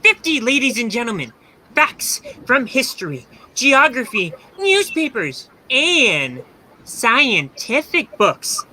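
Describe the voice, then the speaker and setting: A woman's voice: on the high side, sing-song, takes it slowly, bright, forceful tone, heavily acted. A woman playing a flustered, showy music hall announcer in a 1930s radio play, declaiming grandly. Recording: faint background noise, video-call audio.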